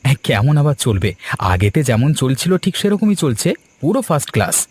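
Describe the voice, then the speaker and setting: A man's voice: mid-range voice, sing-song, fast, darkish tone, slightly rough, dry recording. A man voicing a greedy, boastful village biryani seller in a cartoon, with a pushy, brash manner. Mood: smug. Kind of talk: Bengali moral-story cartoon dubbing.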